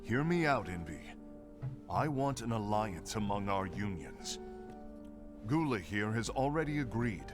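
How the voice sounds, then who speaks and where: A man playing a grave, forceful leader in a video game, stern and persuasive. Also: fairly low pitch, very animated, a little slow, over music. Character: grave, forceful male leader urging alliance. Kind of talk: video game cutscene acting.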